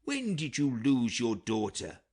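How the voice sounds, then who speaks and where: A man's voice: mid-range voice, huge pitch swings, unhurried, darkish tone, slightly rough, exaggerated voice. A man playing a grieving, earnest old king in a dramatic Shakespeare audiobook, with a theatrical, declamatory delivery. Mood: anguished.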